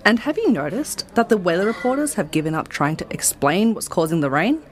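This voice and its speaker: A woman's voice: lowish voice, lively intonation, a little fast, a little hiss or hum, close to an everyday voice. A woman playing a chatty, friendly young barista in an audio drama. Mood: grumbling, chatty.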